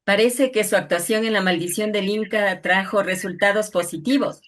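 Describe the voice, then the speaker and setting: Woman, average pitch, formal interviewer, respectful, deliberate phrasing. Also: fairly even intonation, a bit bright.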